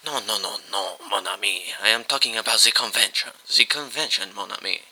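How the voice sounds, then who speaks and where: A man voicing an excitable, fussy Belgian detective. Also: fairly low pitch, fairly quick, breathy edge, heavily acted, poor audio. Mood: insistent. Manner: fussy, insistent.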